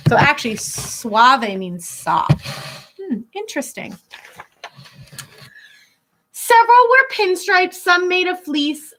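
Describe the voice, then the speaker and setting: A woman schoolteacher reading a picture book aloud to children, expressive and instructive, drawing out key words and pausing to explain. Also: high voice, rough recording.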